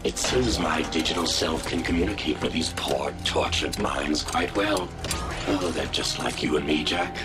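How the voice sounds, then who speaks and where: An actor playing a megalomaniac, unhinged cyborg villain in a video game, gloating with melodramatic menace. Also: male voice, very deep voice, expressive, moderate pace, over music.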